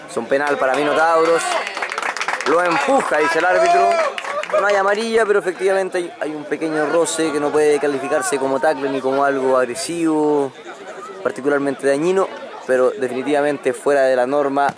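A man with a Chilean Spanish accent giving live play-by-play commentary on a rugby match outdoors, quick and excited at the big moments. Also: a loud crowd, very noisy. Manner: quick, excited calls of the action, pitch jumping up on big moments.

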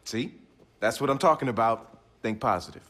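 An actor playing an upbeat young hacker in a game cutscene, speaking casual, slangy American English. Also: male voice, mid-range voice, normal speed, a bit bright, natural voice. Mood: sarcastic, amused. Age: young adult. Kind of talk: video game cutscene acting.

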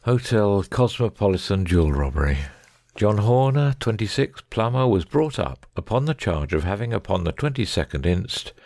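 A man's voice: lowish voice, big pitch swings, moderate pace, creaky, a little mellow, no room sound, clean studio sound. A man playing a brilliant, self-assured detective in a dramatic audiobook, speaking in a precise, didactic way. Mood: reading aloud.

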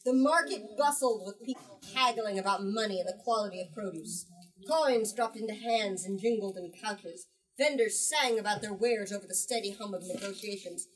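A woman in her twenties leading a book talk, reading aloud and explaining in a dramatic, emphatic way. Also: on the low side. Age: 20s. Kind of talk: expressive read-aloud and discussion. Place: small bookstore with seated group. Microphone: camera at a few metres. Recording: distant camera mic in bookstore.

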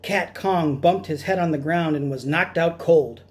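A man's voice: on the high side, a little slow, a little mellow. A middle-aged man reading a children's picture book aloud in a dramatic storytelling style. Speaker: storytime reader. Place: small room at home, indoors.

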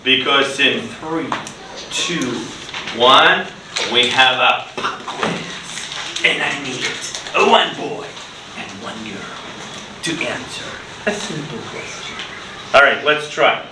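A middle-aged man teaching English to children in a classroom, using simple deliberate phrases, repeated prompts and praise. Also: mid-range voice, expressive. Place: classroom, indoors. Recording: echoey, noisy classroom from far off.

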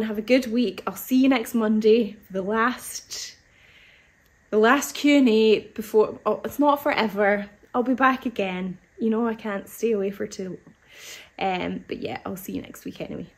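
A woman in her thirties chats her way through viewer questions on a live stream, thinking aloud with frequent ums and half-finished starts. Her voice is slightly hoarse from an oncoming cold. She sits at a desk in a fabric shop, picked up by a nearby phone or webcam mic. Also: fairly high pitch, normal speed. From United Kingdom. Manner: chatty, thinks aloud with many ums and restarts. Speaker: fabric shop owner hosting a live sewing Q&A. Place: fabric shop floor with fabric bolts and dress forms, indoors. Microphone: phone or webcam at desk distance.

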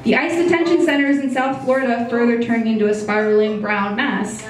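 Young woman reading her essay at a live reading, kinda high voice, super echoey room, a little off the mic. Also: fairly even intonation, distant mic. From United States. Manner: measured, reflective reading from a phone. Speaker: essay reader. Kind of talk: reading a personal essay aloud. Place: small brick-walled bar venue, indoors. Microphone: stage mic on a stand.

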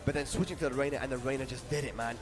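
A man commentating a fighting-game tournament, excitable and shouting at big moments, his voice worn from the weekend. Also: mid-range voice, racing, over music. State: losing his voice. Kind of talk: esports play-by-play commentary.

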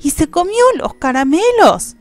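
A woman's voice: extremely high pitch, very animated, a little slow, pitched above the performer's own voice, full character voice. A woman voicing an eager little boy in an exclaiming, wide-eyed manner. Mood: worried.

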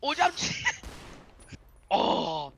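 A man's voice: sits high, wildly swooping pitch, drawn-out words, gravelly, fairly bright tone. A man trading confrontational, swearing trash talk in an online game voice chat. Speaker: rival player in roleplay game.

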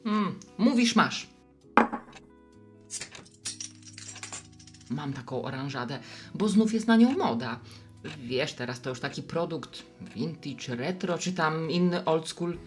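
Radio play barkeeper, played by an actress: middle-aged woman, deep register, animated delivery, wise and gently teasing. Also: a little slow, soft background music. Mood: welcoming, chatty. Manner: conversational, gently probing and teasing.

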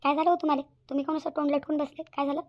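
A woman's voice: high voice, flat delivery, very fast, darkish tone, natural voice. A woman voicing a worried, stubborn village mother in a Marathi cartoon, plaintive and repetitive, with a Vidarbha Marathi accent. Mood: concerned.

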